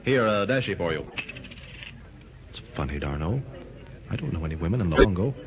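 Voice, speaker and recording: Deep calm hero, firm and plain, dull hissy old radio. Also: male voice, fairly quick. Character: steady heroic jungle lord in evening dress. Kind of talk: 1930s radio acting. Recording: dull, faintly hissy 1930s transcription.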